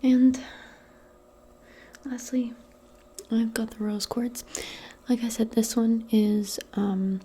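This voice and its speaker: A woman doing a soft-spoken ASMR roleplay, mostly in a breathy whisper, with a gentle, reassuring and hesitant way of talking. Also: on the high side, fairly even intonation, slow.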